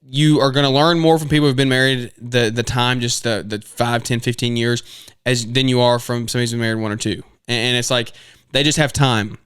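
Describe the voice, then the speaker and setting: Man in his twenties, podcast host, earnest rambling advice, restarts and fillers, medium pitch, close dry mic. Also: steady tone, brisk, a bit bright, clean tone, no room sound. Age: 20s. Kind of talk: casual advice monologue.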